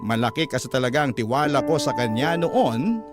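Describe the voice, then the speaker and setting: A man reading as a caring parent, in measured, sentimental scripted narration for a radio drama. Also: medium pitch, expressive, lightly acted. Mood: fond, sentimental. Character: caring parent narrating their child's love story. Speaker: radio storyteller reading a letter. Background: music bed.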